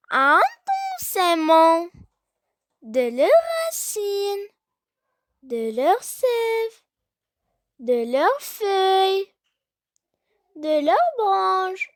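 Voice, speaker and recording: A childlike voice reciting a poem for young learners, deliberately and phrase by phrase, with long pauses. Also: very high voice, very animated, no room sound.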